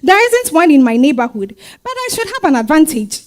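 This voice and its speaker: A woman with a Ghanaian accent playing a warm, weary young market-trader wife and mother in a staged reading, conversational and full of hums and exclamations. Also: high voice, very animated, fairly quick, slight background noise. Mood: modest, hopeful. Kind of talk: dramatized stage reading, first-person storytelling.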